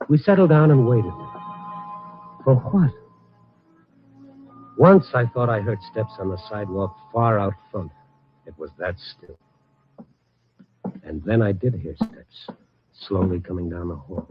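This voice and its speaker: An actor playing a world-weary, tough but kindly newspaper columnist in a clipped, wry 1940s hardboiled radio drama style. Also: male voice, on the low side, lively intonation, a little slow, long pauses. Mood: brooding narration. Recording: muffled vintage 1940s radio, music behind.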